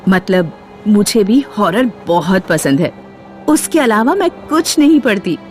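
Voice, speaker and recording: A lively, teasing, mysterious lady passenger. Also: mid-range voice, unhurried. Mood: delighted. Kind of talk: Hindi animated horror story dialogue. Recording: music under the dialogue. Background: music.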